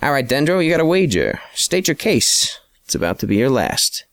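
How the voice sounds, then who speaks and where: A man's voice: mid-range voice, very animated, moderate pace, creaky, fairly bright tone, no room sound, clean studio sound, voice pushed up. A man voicing a smug, stubborn crew boss who lectures and brags. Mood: cocky. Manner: cocky, lecturing.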